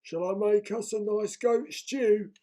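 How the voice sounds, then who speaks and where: A man's voice: steady tone, dark tone. A man voicing a simple, hungry male troll who talks in a small, timid voice. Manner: small high voice, drawn-out.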